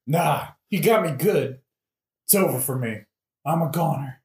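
Man acting wounded young avenger, slow gravelly drawl, remorseful bro, dying, comedic audio play, echoing room. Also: on the high side, a little mellow. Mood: pained, grave. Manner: slangy, drawn-out. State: gasping, coughing, dying. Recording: roomy, echoing recording.

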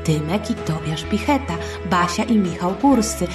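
A woman host narrating a history story for children in a colloquial, expressive way. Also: fairly low pitch, normal speed. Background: background music.

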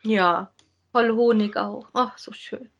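A woman's voice: medium pitch, steady tone, takes it slowly, gravelly, muffled recording. A woman, chatting casually as a podcast co-host about audio dramas.

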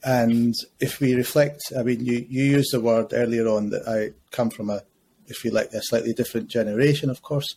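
A man in his fifties talks as a podcast guest, weighing his words carefully and pausing often. His voice sits at an ordinary middle pitch. A faint noise hums behind him. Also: fairly even intonation, mellow. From Scotland. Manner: measured, reflective, frequent hesitations. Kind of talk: conversational podcast interview.

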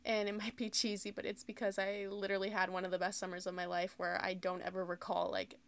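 A young woman around twenty talks alone into the mic for her podcast, rambling and candid, leaning on "like" constantly. Her pitch stays fairly level with little rise and fall, and a gravelly vocal fry runs through her words. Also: monotone, fast talker. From United States. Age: about 20. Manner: candid, rambling, heavy use of "like". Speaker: podcast host. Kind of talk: casual solo podcast talk.

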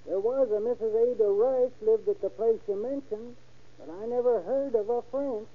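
A man's voice: very high-pitched, moderate pace. An actor playing a talkative, obliging small-town man in an old-time radio crime drama. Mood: helpful. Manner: folksy, talkative. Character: chatty, obliging small-town male witness. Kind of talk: 1930s-1940s radio acting. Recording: tinny old radio recording.

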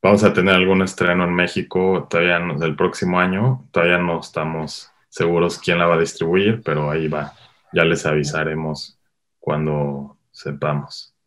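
A middle-aged Mexican man with a Mexican accent, a guest filmmaker talking animatedly in a casual interview, full of quick asides. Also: low voice. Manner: animated storyteller, quick asides, lots of "o sea".

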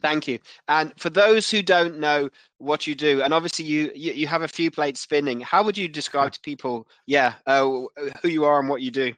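A man with a slightly high voice, captured dry and without room echo. He hosts a casual podcast interview, asking questions in a hesitant, halting manner. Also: a bit bright.

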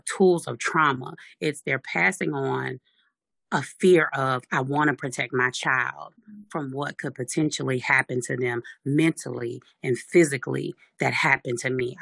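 A speaker with a deep, raspy voice, talking firmly and assertively in a casual discussion. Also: bright, forceful tone, no room sound.

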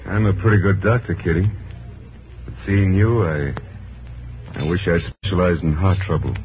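An actor playing a kind, devoted doctor and suitor in a 1940s radio drama, gentle and courteous. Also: male voice, deep voice, normal speed, heavy background noise, close to an everyday voice. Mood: tender, rueful. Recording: tinny 1940s radio broadcast with music.

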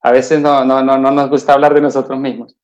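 A man's voice: medium pitch, level tone, brisk, darkish tone, slight background noise. A Colombian man, a podcast guest, answering casually and modestly with many fillers over dull, lo-fi call audio. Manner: self-deprecating, chatty, many fillers.

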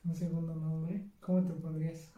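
A man's voice: on the high side, flat delivery, normal speed, dark tone. A nineteen-year-old man with a Mexican accent, co-hosting a casual chat, joking and peppering his talk with slang. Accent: Mexican Spanish. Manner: joking, slangy, says güey constantly. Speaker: co-host. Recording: echoey room with clear background noise.

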